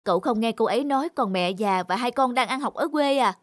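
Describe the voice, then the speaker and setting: A woman voicing a soft-hearted, chubby young man in a cartoon, a concerned friend who speaks with gentle sympathy. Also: a little high, animated, a little fast, natural voice. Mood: sympathetic. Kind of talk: cartoon voice acting.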